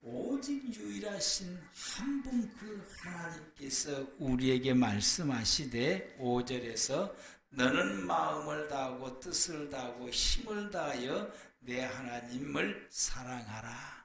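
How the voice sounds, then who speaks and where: A man preaching a church sermon as a pastor, hoarse from a cold, in a deliberate, explanatory way with pauses. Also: a little high, animated, a bit bright. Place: church, speaking to a congregation. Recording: echoey room with faint background noise.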